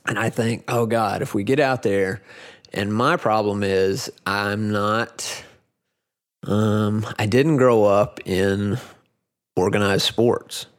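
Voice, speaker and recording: A man in his forties chatting casually as a podcast guest, talking in halting, rambling stretches full of restarts. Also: lowish voice, fairly even intonation. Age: 40s. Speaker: podcast guest, grappling competitor. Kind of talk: casual chat.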